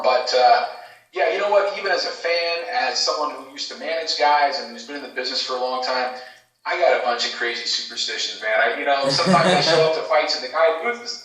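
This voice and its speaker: A man's voice: medium pitch, sharp tone. A man, a guest and former fight manager, chatting casually and effusively about sports in a rambling way. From Canada. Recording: echoey, distant from the mic.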